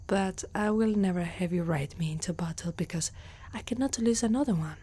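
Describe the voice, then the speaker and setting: A woman from Italy playing an older, protective female centaur warrior haunted by loss, in a soft, slow, breathy whisper for an ASMR roleplay. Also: fairly low pitch. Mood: tender, resolute.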